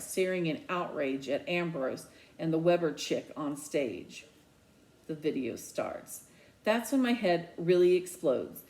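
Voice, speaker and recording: A man's voice: high-pitched, a little mellow. A woman voicing a resentful, sarcastic middle-school boy in a children's novel read aloud. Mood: indignant, sarcastic. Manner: indignant, sarcastic.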